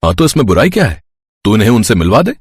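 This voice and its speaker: A man's voice: lowish voice, big pitch swings, fast talker, husky, dry recording, full character voice. Man as kindly male eatery owner for a moral story, matter-of-fact, helpful, dry recording. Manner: plain-spoken, helpful.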